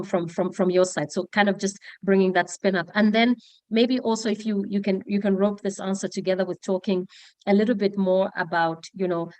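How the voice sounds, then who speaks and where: A woman's voice: fairly low pitch, dry recording. A woman moderating a panel, asking long, winding questions full of "you know" and restarts.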